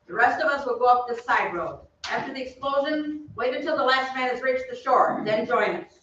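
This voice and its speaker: Bright, forceful army sergeant played by an actor. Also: sits high, a little fast. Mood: brisk orders. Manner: clipped, authoritative. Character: brisk commanding male army sergeant. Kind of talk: old-time radio drama acting. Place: theatre stage. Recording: very echoey, distant, dull, faintly noisy stage.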